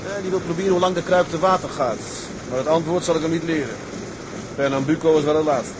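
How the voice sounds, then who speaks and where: A man's voice: fairly high pitch, fast, noisy background, natural voice. A man playing a laconic, decisive tugboat captain in a radio drama. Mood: calm, resigned. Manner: laconic, understated, gives orders plainly.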